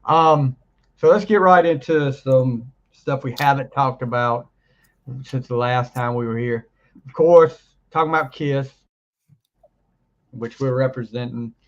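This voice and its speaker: Brief: male podcast host getting over the flu, rambly, mid pitch, lo-fi sound. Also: a little slow. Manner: rambling, laughs often, trails off mid-sentence. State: recovering from flu, voice deeper than usual. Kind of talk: casual chat.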